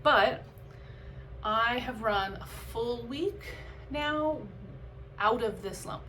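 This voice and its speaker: A woman's voice: a little high, drawn-out words, bright, forceful tone, a little hiss or hum, rough recording. A woman vlogger chatting casually and candidly about her own experience, reflective and conversational with emphatic bursts. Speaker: vlogger and amateur runner. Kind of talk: casual, candid vlog chat.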